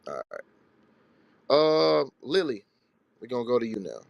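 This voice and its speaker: A man's voice: medium pitch, huge pitch swings, a little slow, creaky, dry recording, lo-fi sound. A man hosting a live religious call-in show, answering callers in a blunt, rhetorical, streetwise way.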